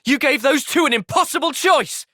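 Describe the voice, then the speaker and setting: An actor playing an earnest, thoughtful young soldier in a video game, soft-spoken and sincere but resolute. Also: male voice, very high voice, moderate pace, dry recording, studio quality. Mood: urgent, shouting. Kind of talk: video game cutscene acting.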